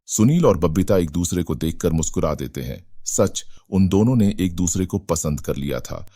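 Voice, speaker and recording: Very deep, dark-voiced male story narrator. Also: expressive, normal speed, no room sound. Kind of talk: scripted Hindi moral story narration.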